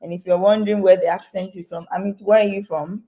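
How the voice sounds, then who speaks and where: A woman's voice: mid-range voice, fairly even intonation, fast talker, creaky, warm tone. A woman hosting an interview, speaking to her viewers directly with frequent "um" fillers. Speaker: real estate host.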